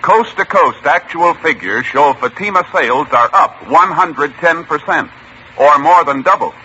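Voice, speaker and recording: A man working as a radio announcer with a measured, formal 1950s broadcast delivery. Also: on the high side, expressive, bright, forceful tone, slight background noise. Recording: dull old radio broadcast with music.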